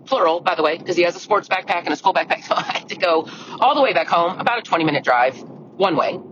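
A woman hosts her podcast alone in a deep, scratchy voice. She talks in a chatty, earnest way and keeps restarting sentences. The recording sounds dull and lo-fi, with steady noise clearly audible underneath. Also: quick pace. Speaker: podcast host. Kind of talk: solo podcast monologue. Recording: lo-fi audio with clear background noise.

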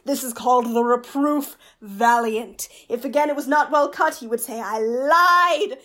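Woman playing a high-voiced, quibbling court jester. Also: measured pace, bright, forceful tone. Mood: mock-solemn, witty. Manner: pedantic mock-formal listing. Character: witty court jester, quibbling and mock-learned. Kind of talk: Shakespearean radio play acting.

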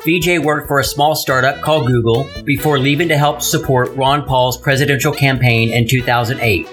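A man's voice: medium pitch, steady tone, normal speed, a bit bright, soft background music. A man hosting a podcast, presenting and talking as an interviewer. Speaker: podcast host. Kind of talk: scripted intro and sponsor read, then casual interview.

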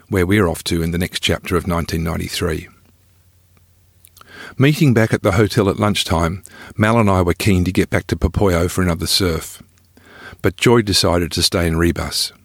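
Deep-voiced man narrating his memoir. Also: dry recording, studio quality. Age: middle-aged. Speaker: author reading own travel memoir. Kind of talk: scripted first-person narration.

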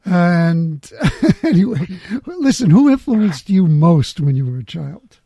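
Middle-aged male host, husky mellow voice, measured and talkative. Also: high voice, very animated, dry recording, dull audio. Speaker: podcast host. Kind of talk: scripted intro then casual interview.

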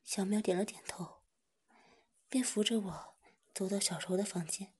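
A woman reading a first-person story aloud in a soft whisper. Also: medium pitch, moderate pace, husky.

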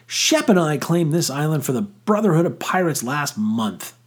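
An excitable adolescent boy from a storybook, performed by a male reader, raised in pitch and swinging widely. He sounds flustered and stubborn yet earnest, and his voice is apt to crack. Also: a little slow, husky, full character voice. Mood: angry, flustered. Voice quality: voice prone to cracking.

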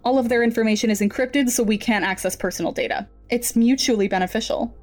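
An actress playing a cool, businesslike lab supervisor in an audio drama, composed and formal. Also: female voice, on the high side, quick pace, a little mellow, faint music behind, close to an everyday voice. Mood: clinical.

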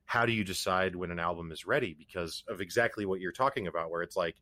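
A man's voice: sits low, quick pace, pushed and bright, no echo. A man hosting an interview podcast, talking casually with stammering restarts and easygoing asides. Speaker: podcast host.

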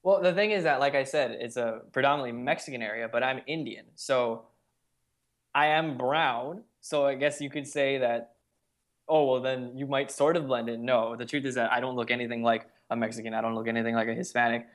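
A man's voice: medium pitch, steady tone, a little fast, a bit bright. A young adult man speaks as a podcast guest, recounting his own experiences. His talk is earnest and conversational, looping back on itself with frequent "like"s as he works through his thoughts. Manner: earnest storytelling, frequent "like" fillers. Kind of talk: casual conversation.

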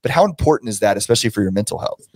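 American man, middle-aged, low voice, dry close sound, casual rambling podcast host. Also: lively intonation, rapid-fire, a little mellow, no echo. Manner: rambling, thinking aloud. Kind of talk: casual conversational interviewing.

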